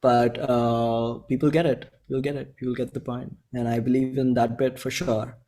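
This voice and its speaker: A man in his twenties from India with an Indian accent, talking informally and earnestly as an interview guest, in halting phrases. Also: medium pitch, flat delivery, dark tone. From Bhopal, India. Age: 20s. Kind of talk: casual chat.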